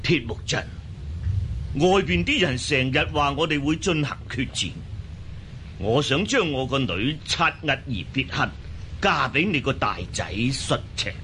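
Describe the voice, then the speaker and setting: An actor playing a hearty, paternal old khan in a Cantonese radio drama, with a husky voice and a weighty manner. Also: male voice, mid-range voice, very animated, measured pace, sharp tone, a little hiss or hum, full character voice. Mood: hearty, grateful.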